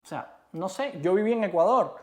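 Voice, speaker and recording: A man from Cuba with a Cuban Spanish accent, co-hosting a casual chat in an emphatic, animated way. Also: high voice, normal speed. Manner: emphatic, animated, repeats rhetorical questions. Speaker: co-host.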